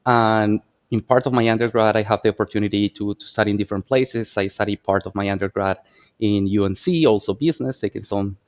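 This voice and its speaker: A man in his thirties from Colombia with a Colombian Spanish accent, a podcast guest telling stories in lively, repetitive phrasing. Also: on the low side, fairly quick, highs cut off. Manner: animated storyteller, repeats words while searching for phrasing. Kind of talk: casual interview talk.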